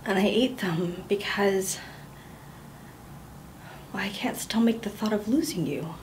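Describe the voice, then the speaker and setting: A woman's voice: high-pitched, expressive, a little slow, slight background noise. A woman performing a stage monologue as a young wife who pleads with her husband not to divorce her, laughing through near tears and rambling. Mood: hushed, pleading.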